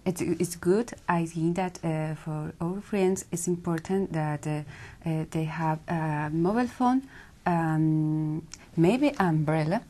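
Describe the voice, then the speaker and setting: A woman's voice: sits low, a little mellow. A woman student from Ecuador, answering exam questions hesitantly with many fillers.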